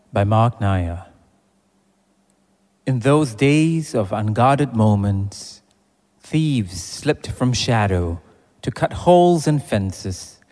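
A man reciting poetry in a deliberate way, phrase by phrase. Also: mid-range voice, a little mellow. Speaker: poetry reader. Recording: long reverberant hall sound.

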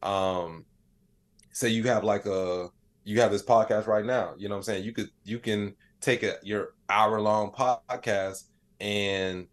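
A man's voice: on the low side, steady tone, unhurried. A man speaking as a podcast guest in a casual, rambling, slangy conversational style. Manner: rambling, slangy, frequent "you know what I'm saying". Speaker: podcast guest, AI expert and comedian.

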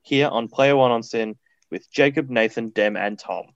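A man's voice: mid-range voice, moderate pace, vocal fry, dry recording, highs cut off. A man co-hosting a student radio show, chatty and upbeat as he introduces songs. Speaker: radio co-host. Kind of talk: casual radio chat, song introductions.

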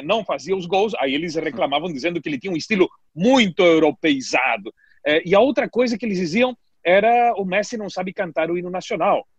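A man discussing football in casual talk, animated and hesitant, with many fillers and restarts. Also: a little high, husky, no room sound. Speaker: football commentator. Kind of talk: podcast discussion.

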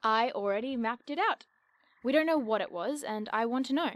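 A woman's voice: fairly high pitch, moderate pace, no room sound, natural voice. A woman playing an eager, curious young adventurer in an audio drama, chirpy, precise and wordy as she persuades. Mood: insistent, curious. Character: eager, curious young woman, nerdy cryptid-chasing road-tripper. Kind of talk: audio drama acting, naturalistic banter.

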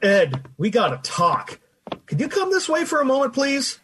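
Man playing excitable, bumbling 1950s radio sidekick. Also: very high-pitched, normal speed, a little hiss or hum, heavily acted. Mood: flustered, confused. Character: good-natured, easily flustered male 1950s store coworker. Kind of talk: old-time live radio drama acting.

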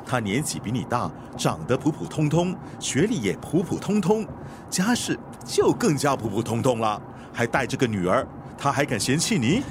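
Actor as nagging, animated loyal chauffeur. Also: male voice, medium pitch, normal speed, natural voice. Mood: nagging, bewildered. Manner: questioning, earnest, deferential but blunt. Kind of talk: radio drama acting. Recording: clear street and vehicle noise.

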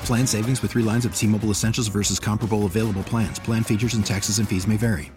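A man's voice: lowish voice, fairly even intonation, quick pace, loud music behind the voice. A man reading scripted legal fine print at the end of an ad. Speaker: disclaimer announcer.

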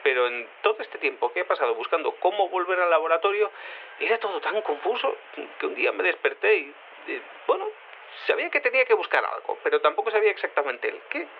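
A man's voice: high-pitched, very animated, fast, gravelly, full character voice. An actor playing a confused male cat turned human, hesitant and stammering, rambling as an interviewee in an audio drama over a telephone line. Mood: unsure, rambling. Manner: hesitant, stammering, self-contradicting. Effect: telephone filter. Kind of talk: audio fiction acting as an interviewee. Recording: tinny phone line with noise.

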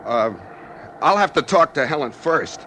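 A desperate American man in a 1940s radio thriller, wry and pleading in a hard-boiled way. His voice sits a little high. The old transcription is clearly noisy, with an engine rumble behind him. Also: animated, a little slow, sharp tone. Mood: anxious pleading. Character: desperate man held at gunpoint while driving, wry narrator. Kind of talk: 1940s radio drama acting.

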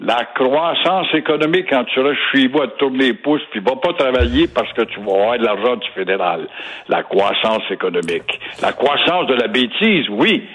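A man with a Québécois accent delivers pointed radio commentary, his voice a little husky. He talks in a sarcastic, mocking way and hammers points home through repetition. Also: medium pitch, expressive, moderate pace, a bit bright. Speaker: regular commentator.

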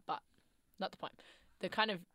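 A woman's voice: normal speed, a bit bright, no room sound. A young woman co-hosting a podcast in casual chat, thoughtful and hedging, with a lingering cough. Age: young adult. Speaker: podcast co-host.

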